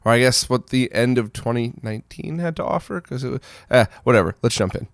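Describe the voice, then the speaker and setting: Man, medium pitch, casual news host, conversational, opinionated, dry recording. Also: expressive, fairly quick, gravelly, no echo. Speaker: host of a retro gaming news roundup. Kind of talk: casual news roundup commentary.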